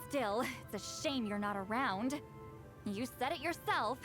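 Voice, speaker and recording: A woman's voice: a little high, expressive, unhurried, music in the background. A woman playing a proud, lonely, determined centuries-old shaman in a video game. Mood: guarded, wistful.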